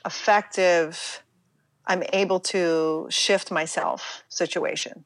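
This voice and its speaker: A middle-aged American woman speaking reflectively as an interview guest, halting and emphatic, often acting out her own inner dialogue. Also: lowish voice, fairly even intonation, dry recording. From United States, Pittsburgh, Pennsylvania.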